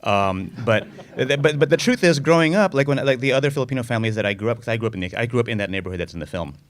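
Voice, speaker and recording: Director guy rambling thru q&a answers, lots of restarts, talks fast. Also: mid-range voice, animated. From United States. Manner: rambling, many restarts and repeated words. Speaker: film director. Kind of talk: casual festival Q&A answers.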